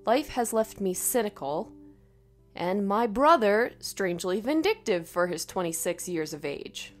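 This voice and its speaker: A woman narrating a scripted first-person horror story in a dramatic, expressive way. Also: mid-range voice, unhurried, faint music behind.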